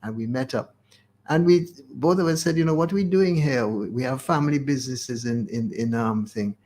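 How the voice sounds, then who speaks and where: A man's voice: medium pitch, fairly quick, a little mellow. Elderly man, hesitantly reminiscing. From Caribbean. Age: 80s. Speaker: interview guest. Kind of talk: unscripted reminiscing in a radio interview.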